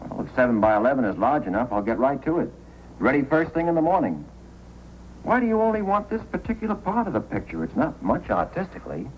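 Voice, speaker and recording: An actor playing a fussy, excitable frontier photographer with a halting manner in an old-time radio drama. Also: male voice, medium pitch, slight background noise, lightly acted, low-quality recording. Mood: earnest. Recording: old radio recording, faint music.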